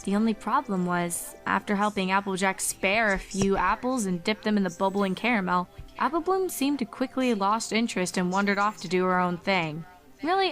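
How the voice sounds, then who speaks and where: A woman's voice: mid-range voice, a bit bright. A woman reading a horror fan fiction story aloud as its narrator.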